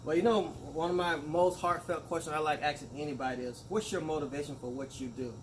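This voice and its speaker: A man's voice: fairly high pitch, steady tone, fast. Man interviewer with affirming "okay"s, noisy. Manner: affirming, rambling question phrasing. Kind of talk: casual interview questions. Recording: noisy background.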